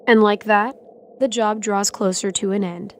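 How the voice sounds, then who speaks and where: A woman's voice: medium pitch, steady tone, normal speed. A woman reading scripted dramatic narration as the narrator of an audio drama.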